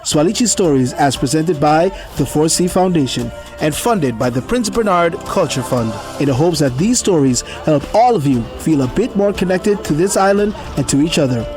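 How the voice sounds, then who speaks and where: Man, announcer, scripted read, somewhat high pitch, prominent background music. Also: expressive, moderate pace, few pauses, darkish tone.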